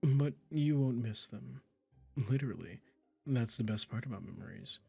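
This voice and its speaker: A man's voice: mid-range voice, lively intonation, normal speed, dark tone, soft background music. A man playing a smug, possessive, doting male witch in an intimate roleplay audio, cooing condescendingly. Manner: condescending, cooing, breathy endearments. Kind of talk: intimate ASMR roleplay acting.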